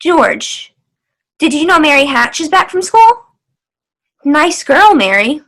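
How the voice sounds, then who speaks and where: A woman's voice: high-pitched, very animated, takes it slowly, fairly bright tone, exaggerated voice. A woman playing a no-nonsense small-town mother in old-time radio style, coaxing and bossy. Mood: coaxing, firm.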